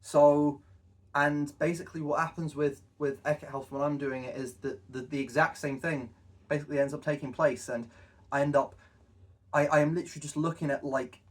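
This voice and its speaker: A young man co-hosting a casual retrospective chat, halting and often repeating his phrases as he goes. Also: a little high, level tone. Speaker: co-host, web series creator. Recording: distant from the mic.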